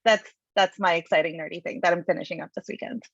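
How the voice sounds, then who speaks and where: A woman's voice: lowish voice, fast, creaky, dry recording. A woman from Colombia, a native Spanish speaker, speaking candidly and hesitantly as a panelist on a video call. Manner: hesitant, many fillers and restarts, candid. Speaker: panelist, senior associate. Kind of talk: casual panel talk. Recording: dull dry video call audio.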